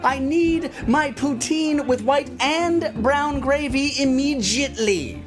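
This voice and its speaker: High-pitched, melodramatic finned alien diner. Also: female voice, slow pace, music in the background. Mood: impatient. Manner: demanding, melodramatic. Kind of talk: audio drama acting.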